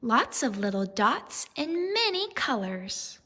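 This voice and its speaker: Slow, expressive woman reading to children. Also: fairly high pitch, breathy edge, big-room sound. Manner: expressive, deliberate, addressing young children. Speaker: teacher reading aloud. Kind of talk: children's storybook read-aloud with questions.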